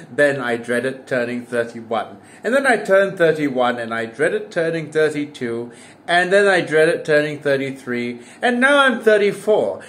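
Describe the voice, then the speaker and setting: A man's voice: mid-range voice, a bit bright, slight background noise. A man, talking to camera in a casual vlog monologue, full of wry, self-mocking jokes. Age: 30s. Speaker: political commentary vlogger.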